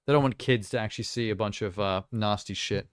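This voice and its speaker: A man's voice: lowish voice, a little fast, clear, resonant tone, no room sound, clean studio sound. A man from South Africa giving casual, off-the-cuff reactions as a live streamer, with wry, mocking asides.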